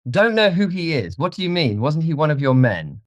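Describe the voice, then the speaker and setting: A man voicing an anxious, imperious young gentleman with an intense manner, for an audiobook. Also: on the low side, animated, moderate pace, dry recording, voice pushed up, close to an everyday voice. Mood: agitated. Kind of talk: audiobook character voice.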